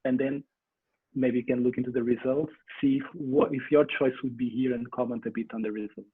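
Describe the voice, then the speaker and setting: A man's voice: medium pitch, steady tone, fairly quick, breathy edge, no room sound. A man moderating an online panel, speaking in a friendly, hesitant way with many fillers. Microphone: video call. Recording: tinny phone-like call line.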